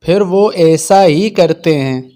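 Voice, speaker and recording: A man's voice: a little high, slow pace, warm tone. A man reading scripted narration for a children's moral story.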